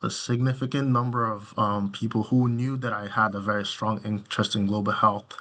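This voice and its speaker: A man in his thirties from Nigeria, a physician giving informal interview answers in a halting, colloquial way full of fillers. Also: fairly low pitch, fairly even intonation, fairly bright tone. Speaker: physician, podcast guest.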